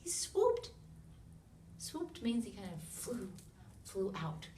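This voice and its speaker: An American woman reads a picture book aloud to young children in an expressive way, with sound effects, questions and hushed asides to her listeners. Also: medium pitch, takes it slowly. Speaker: children's read-along reader. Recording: roomy, distant mic with noise.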